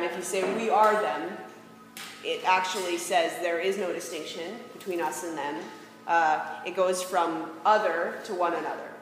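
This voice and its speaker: A woman's voice: lowish voice, a bit bright. Woman speaking in a very echoey hall. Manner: reflective, poses rhetorical questions. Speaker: speaker presenting ideas on faith and peacemaking. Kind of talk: informal public talk. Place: large reverberant room. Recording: very echoey hall, faint background noise.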